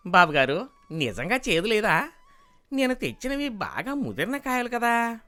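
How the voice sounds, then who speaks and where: A man's voice: high-pitched, big pitch swings, unhurried, pushed and bright, soft background music, full character voice. Guy doing an envious village servant, deferential, exclaiming, cartoon moral tale. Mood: disbelieving. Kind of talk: animated moral story dialogue.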